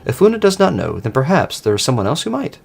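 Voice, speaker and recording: A man's voice: mid-range voice, wildly swooping pitch, fast, gravelly, a little mellow, husky, heavily acted. A man voicing a formal, methodical machine assistant that gives helpful instructions in precise wording. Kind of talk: scripted roleplay dialogue read aloud.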